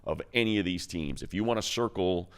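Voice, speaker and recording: Very low male voice, sports analyst, casual football breakdown, slangy and conversational. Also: fairly quick, clear, resonant tone.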